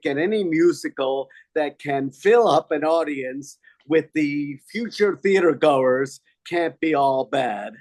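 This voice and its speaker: A man's voice: on the high side, a little mellow, no echo. An older man working as a theater critic, reading his review aloud.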